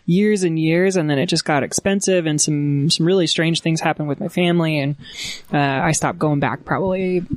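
A woman's voice: sits low, moderate pace, no echo. A woman in her thirties from Arkansas, a podcast guest telling her story in casual chat with plenty of fillers.

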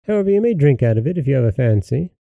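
A man's voice: lowish voice, normal speed, dark tone, dry recording, lightly acted. A man voicing a rude, proud young daughter in a storybook, pert and haughty. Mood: haughty, sarcastic. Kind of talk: storybook character reading.

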